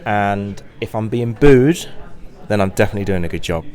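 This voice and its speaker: A man's voice: lowish voice, moderate pace, darkish tone. A man, an actor about to play his first pantomime role, answering questions in an upbeat, friendly and eager way. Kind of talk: casual interview chat.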